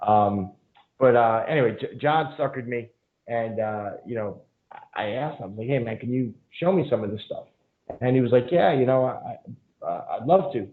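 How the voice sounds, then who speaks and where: A man's voice: mid-range voice, normal speed. A man guest on a podcast telling long casual stories, full of fillers and swearing. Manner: rambling, frequent fillers and profanity. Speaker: podcast guest, jiu-jitsu instructor. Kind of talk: casual storytelling interview. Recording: phone-like narrow band.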